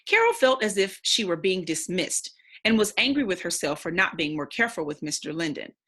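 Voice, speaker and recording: Husky-voiced woman reading a story aloud. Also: fairly low pitch, sing-song, brisk, pushed and bright. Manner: instructive, simple explanations to young listeners. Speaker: read-aloud teacher. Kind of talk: expressive storybook read-aloud with explanations.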